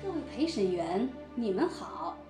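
A woman playing a solemn judge in a mock-trial audio drama, speaking formally and deliberately. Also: mid-range voice, big pitch swings, over music. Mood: formal, grave. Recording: roomy echo.

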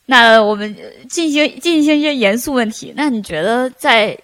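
A woman's voice: a little high, moderate pace, noisy background. A woman hosting a podcast, asking questions in casual interview chat. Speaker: podcast host.

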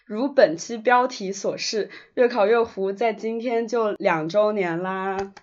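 A woman's voice: medium pitch, a little slow, dull audio. A woman co-hosting a podcast, explaining things in casual conversation. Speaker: podcast co-host.

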